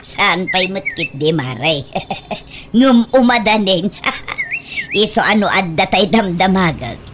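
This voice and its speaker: A woman playing an excitable, doting village mother in a radio comedy, with an exclamatory, giggly, gushing delivery. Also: mid-range voice, takes it slowly, noisy background. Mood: gleeful, chatty. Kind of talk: comedy radio drama acting.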